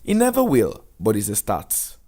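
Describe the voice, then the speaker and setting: A man voicing a courteous but firm female social worker in her fifties, measured and admonishing, in a drama. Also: fairly low pitch, wildly swooping pitch, dark tone. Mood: encouraging.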